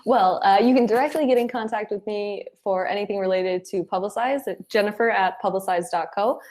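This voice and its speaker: A woman speaking as an interview guest, giving advice and telling anecdotes in an animated, conversational way. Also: medium pitch, a little fast. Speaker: interview guest, head of client acquisition.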